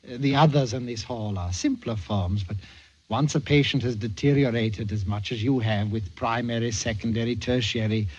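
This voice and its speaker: An actor playing an unflappable, patronizing Martian psychiatrist with a clinical, humoring manner, in an old-time radio play. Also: male voice, mid-range voice, moderate pace, lightly acted. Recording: dull vintage radio recording.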